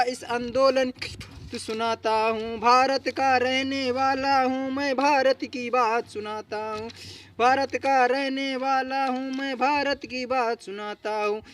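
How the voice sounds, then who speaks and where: A man at a public gathering, addressing the crowd and singing protest verses in a slow, repetitive, chant-like refrain. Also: very high voice, fairly bright tone, slight background noise. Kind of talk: sung protest verses with short spoken lead-in. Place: public gathering with a seated audience.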